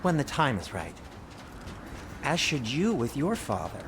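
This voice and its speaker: A man playing a nervy, wry and loyal dwarf smith in a video game, with a confiding, halting delivery. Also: mid-range voice, big pitch swings, background noise. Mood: guilty, confiding. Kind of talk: naturalistic video game acting.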